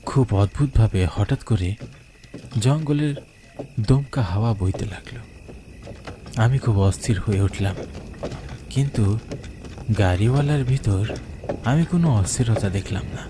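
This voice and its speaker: A man giving a scripted, dramatic first-person narration of an animated horror story. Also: lowish voice, measured pace, pauses often. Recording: clear background noise of night crickets.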